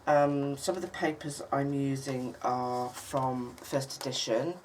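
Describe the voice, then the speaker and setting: A woman hosting a crafting tutorial, croaky and husky from a cold, chatting in a halting way with lots of ums and the odd cough. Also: level tone, darkish tone. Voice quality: husky, low for her. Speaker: craft channel host. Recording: distant mic with faint noise.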